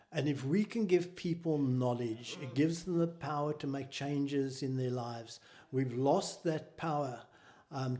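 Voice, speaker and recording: South African man, mellow tone. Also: mid-range voice. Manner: measured, explanatory. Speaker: charity founder. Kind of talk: interview answers.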